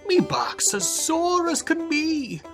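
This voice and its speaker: A man's voice: extremely high pitch, big pitch swings, slow, soft background music, pitched above the performer's own voice, heavily acted. A man voicing a lazy Irish husband who whines and wails, in a put-on Irish accent. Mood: whining. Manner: whiny, wailing.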